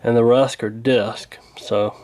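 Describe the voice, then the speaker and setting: A man's voice: mid-range voice, fairly even intonation, takes it slowly, a little mellow. A man talking casually and hesitantly through a hands-on repair, in a folksy, unscripted way. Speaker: radio repair hobbyist, presenter.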